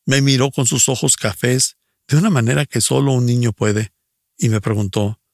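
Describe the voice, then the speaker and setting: Middle-aged male, mid-range voice with some fry, earnest and measured, delivering a personal church testimony. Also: medium pitch, fairly even intonation, no echo, clean studio sound.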